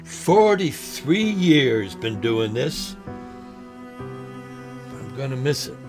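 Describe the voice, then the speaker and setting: Slow-talking, sentimental old man. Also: a little high, very animated, music in the background, full character voice. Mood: wistful. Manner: slow, halting, folksy. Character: ailing old man, sentimental lifelong fishing buddy, gently joking. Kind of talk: audio drama acting, folksy dialogue.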